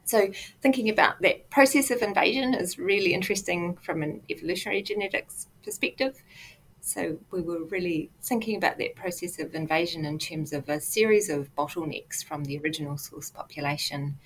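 A woman's voice: fairly low pitch, fairly quick, a little hiss or hum, rough recording. A woman with a New Zealand accent, an academic guest explaining her research conversationally in an interview. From South Island of New Zealand. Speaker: academic guest, associate professor.

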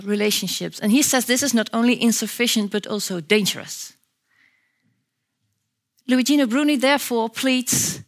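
A woman program manager introducing a lecture to an audience, reading a prepared introduction with small fillers. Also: on the high side. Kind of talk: event introduction.

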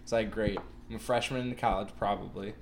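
A man in his twenties chatting on a podcast, telling stories in a relaxed way and laughing often. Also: on the low side, a little fast, slight background noise. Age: 20s. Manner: laid-back storytelling, laughs often. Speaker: podcast co-host. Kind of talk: casual chat.

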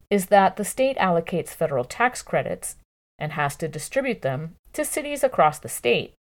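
A woman narrating a long-form news article from a script in a measured, clearly phrased way. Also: low voice, darkish tone.

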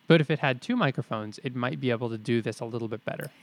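A man's voice: mid-range voice, fast talker. A man co-hosting a podcast, thinking aloud in a conversational way with dry jokes. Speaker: podcast co-host. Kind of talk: casual explanatory chat. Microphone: studio mic, separate track.

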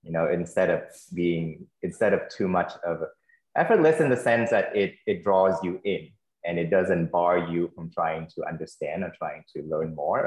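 A man with a Vietnamese accent and a very low, deep voice answers panel questions as a translator. He speaks in a thoughtful, measured way, often pausing with fillers and restarting phrases. The sound is roomy and echoey.

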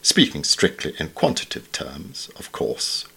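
A man reading literary essays from a script in a formal, measured manner. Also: a bass voice, very animated, gravelly, bright, forceful tone, breathy edge. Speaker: reader of newspaper essays.

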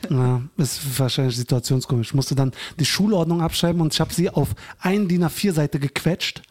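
A man's voice: on the high side, fairly quick, darkish tone. A man chatting casually on a podcast, telling anecdotes and acting out the dialogue as he goes. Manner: acts out quoted dialogue while telling stories.

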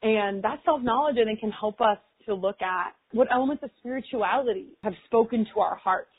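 A woman's voice: a little high, a little fast, breathy edge. A woman talks in a loose, reflective way and fills her sentences with "like." Her voice carries a slight huskiness. The sound is narrow and tinny, like a phone call. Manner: chatty, frequent "like" fillers. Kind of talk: casual reflective chat.

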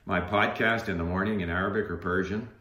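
A man's voice: deep voice, steady tone, brisk, sharp tone, reverberant. An older man talking casually in conversation about his own experience. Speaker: language learner and YouTuber.

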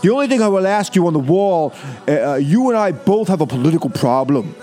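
A man playing a bombastic, boastful American president in a comedic reading, halting and repeating himself. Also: fairly high pitch, very animated, warm tone, faint music behind. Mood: boastful, insistent. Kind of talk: comedic dramatic reading over music.

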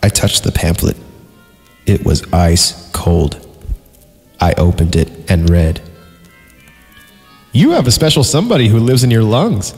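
Male podcast host, lowish voice, tongue-in-cheek creepy-story reader, sarcastic and informal, heavy reverb with music underneath. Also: lively intonation, measured pace, pauses often, a little mellow. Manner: sarcastic, breaking off from narration into giggly asides. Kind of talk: mocking dramatic story reading with comic asides. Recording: reverberant voice over background music.

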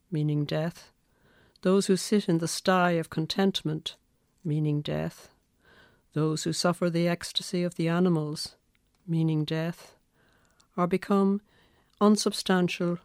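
Irish female poet guest, reflective, creaky voice, close and dry recording. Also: lowish voice, no echo. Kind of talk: measured poetry reading and reflective interview talk.

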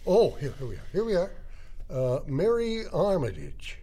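An actor playing a courteous, doddery old man in an audio drama, speaking haltingly in an old-fashioned, polite way. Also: a little high, huge pitch swings, dark tone, husky, exaggerated voice. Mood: courteous, muddled. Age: older. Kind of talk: satirical audio drama acting.

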